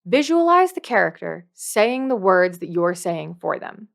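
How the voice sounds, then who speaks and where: A woman's voice: fairly low pitch, very animated, a little slow, vocal fry. A woman presenting a scripted tutorial to camera as a dungeon master, emphatic and playful, with dry asides. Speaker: video host, dungeon master.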